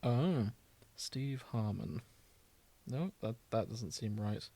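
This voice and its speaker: A man's voice: on the low side, lively intonation, slow, vocal fry, warm tone, no echo. Chatty British man hosting, dry close sound. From Britain. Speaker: podcast host. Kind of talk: casual podcast chat.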